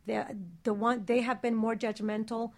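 A woman's voice: fairly high pitch, little pitch movement, moderate pace. A woman chatting casually and candidly as a panelist, blunt and emphatic, repeating herself to make a point. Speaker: talk show panelist.

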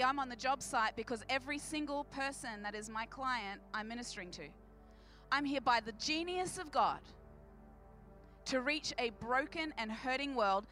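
A woman's voice: high voice, sharp tone. A woman with an Australian accent preaching to a church congregation in a big, emphatic voice, repeating phrases for effect. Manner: big, projecting voice. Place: church service.

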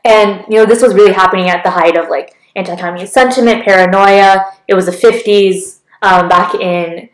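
A woman's voice: medium pitch, steady tone, brisk, hardly any pauses, echoey room, rough recording. A young woman presenting history in a casual chat, explaining with many fillers and laughing easily.

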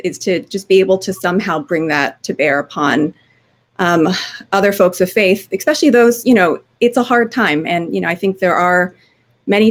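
Woman, scratchy voice, medium pitch, informal academic panel talk, conversational, searching for words. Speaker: historian on a discussion panel.

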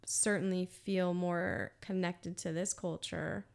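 Animated woman podcast host. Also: on the low side, slow pace, gravelly. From United States. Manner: animated, laughs often, emphatic. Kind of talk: casual conversation.

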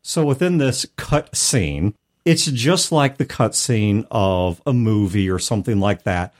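A man with a fairly low voice talks as a podcast host. He explains things at length in a casual, reflective manner, often adding small qualifying asides as he goes. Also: expressive, unhurried, darkish tone. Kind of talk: casual discussion of tabletop role-playing games.

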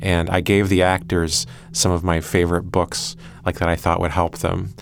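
A man's voice: deep voice, level tone, moderate pace, gravelly, slight background noise. A man who produces a podcast, explaining his work reflectively in an interview with hesitant, thoughtful phrasing.

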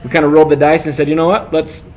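A man's voice: fairly high pitch, flat delivery, rapid-fire, darkish tone. A man co-hosting a casual business podcast, upbeat and jokey, repeating words as he asks questions. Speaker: podcast co-host, interviewer. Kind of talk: casual interview chat.

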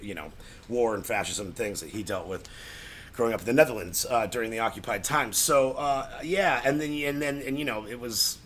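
A man's voice: mid-range voice, moderate pace, a bit bright. A man talking informally in a casual film discussion, opinionated and loose with his language. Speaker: podcast panelist.

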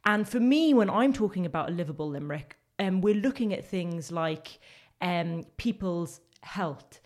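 A woman's voice: lowish voice, normal speed. London-accented woman, earnest and chatty. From England. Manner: earnest, animated, many fillers. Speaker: guest, local election candidate. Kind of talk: casual podcast conversation.

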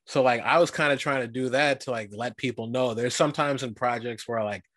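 A man from Guyana hosting a casual interview, talking in a relaxed, rambling way with lots of fillers. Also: mid-range voice, a little fast, no room sound. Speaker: podcast host.

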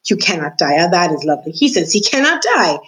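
A woman's voice: on the low side, very animated, fast, fairly bright tone. A woman voicing an old male dungeon jailer who talks in a menacing, theatrical way and calls himself by name. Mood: mocking.

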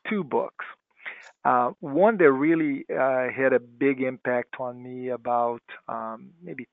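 A man's voice: medium pitch, gravelly, dry recording. A Brazilian man whose first language is Portuguese, a guest physician explaining clinical points in a podcast interview and often restarting his phrases. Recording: tinny phone-like line.

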